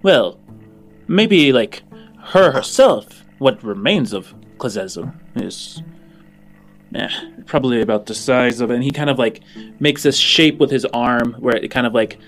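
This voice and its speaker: A man improvising narration as game master of a tabletop game, in a halting, informal, descriptive way. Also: medium pitch, expressive, faint music behind.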